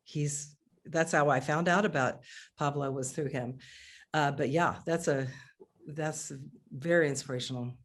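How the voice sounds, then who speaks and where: A middle-aged woman, a singer, talking casually on a video call from her living room, chatty and animated with frequent restarts. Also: low voice, unhurried. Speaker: singer and guest.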